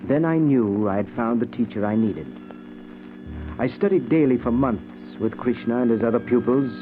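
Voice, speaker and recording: A man's voice: medium pitch, moderate pace, narrow, tinny audio, over music, close to an everyday voice. An actor playing an obsessed, nervously intense middle-aged man, speaking earnestly and dramatically in a 1940s style radio drama. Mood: haunted, intense. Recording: thin old radio recording.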